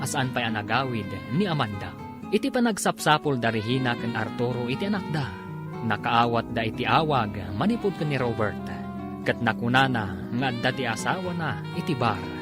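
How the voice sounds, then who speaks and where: Animated male radio announcer over music. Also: medium pitch. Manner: theatrical, drawn-out title calls. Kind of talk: dramatic scripted narration. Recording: music behind the voice.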